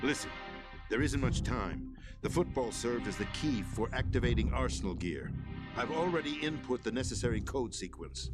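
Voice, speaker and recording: A man's voice: fairly low pitch, lightly acted. An actor playing a grave, authoritative middle-aged statesman in a video game cutscene, weary yet urgent, with solemn diction. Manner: solemn, measured exposition. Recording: loud music behind the voice. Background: loud dramatic game score.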